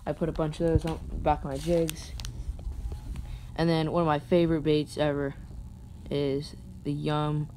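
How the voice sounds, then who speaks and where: A man's voice: fairly high pitch, little pitch movement, moderate pace, darkish tone. A man casually showing his fishing tackle, hesitant and rambling, with unsure asides, recorded on a phone in a carpeted room at home. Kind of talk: casual unscripted show-and-tell. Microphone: phone camera held over the gear. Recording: phone recording with clear background noise.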